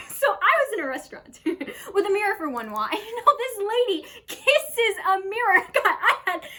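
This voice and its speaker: A woman's voice: very high-pitched, a bit bright, pitched above the performer's own voice, exaggerated voice. A young woman playing a manic, unhinged storyteller in a theatrical dramatic monologue, erratic and breaking into laughter through her words. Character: manic, unhinged young woman telling bitter absurd stories.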